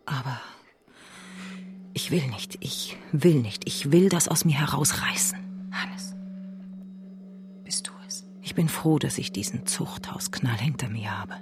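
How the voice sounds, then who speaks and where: A woman's voice: very deep voice, expressive, measured pace, pauses often. An actress playing a troubled but steadfast revolutionary woman in a radio drama, earnest and intimate. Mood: anguished, whispering. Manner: earnest, intimate, often dropping to a whisper. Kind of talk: German radio drama acting. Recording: clear music behind the voice.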